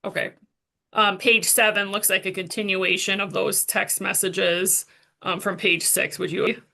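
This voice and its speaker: A woman's voice: medium pitch, little pitch movement, a little slow, gravelly, sharp tone. A woman working as a prosecuting attorney, questioning a witness formally and step by step in court.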